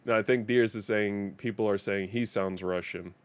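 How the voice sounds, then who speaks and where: Danish-accented man with a lowish voice. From Denmark. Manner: chatty, laughs often, repeats words as he restarts. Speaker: streamer. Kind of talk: casual live-stream gaming commentary.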